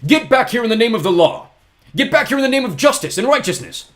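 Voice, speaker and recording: A man's voice: mid-range voice, lively intonation, fast talker, voice pushed up, close to an everyday voice. A man voicing a stern, fussy male banker guardian who blusters and raises his voice. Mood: shouting.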